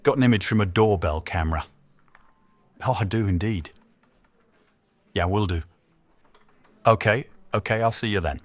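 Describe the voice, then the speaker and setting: A man's voice: on the low side, normal speed, long pauses, dry recording, natural voice. An actor playing a dutiful, dryly sardonic police detective in an audio drama, terse in his delivery. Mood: businesslike. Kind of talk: naturalistic audio drama dialogue.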